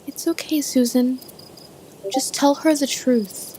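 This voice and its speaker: Casting: woman to play the earnest peacemaker of a runaway band, very high, slow, protective. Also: steady tone, mellow, a little hiss or hum. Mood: gentle, subdued. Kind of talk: audio drama acting. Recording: live-streamed audio play with music bed.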